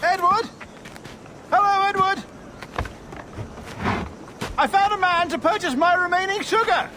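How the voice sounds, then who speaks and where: An actor playing a chatty, nervous, genteel merchant in a video game, fussy and excitable in manner. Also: male voice, very high voice, unhurried, noisy background. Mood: excited. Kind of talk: video game cutscene acting.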